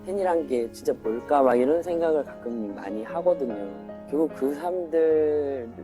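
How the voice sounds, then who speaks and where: A man talks very fast and reflectively in an archive interview clip. His voice is mid-pitched, and music plays clearly behind him. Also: animated.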